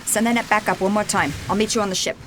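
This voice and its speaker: A woman's voice: medium pitch, fairly even intonation, quick pace, a bit bright, soft background music, lightly acted. An actress playing a fierce, headstrong young woman fighter in a fantasy audio drama, curt, defiant and profane.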